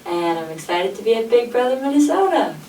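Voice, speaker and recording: A woman's voice: on the high side, sing-song, brisk, dark tone, echoey room. A woman in her twenties introducing herself as a contestant, bubbly and upbeat. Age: 20s. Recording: distant, heavy wind noise.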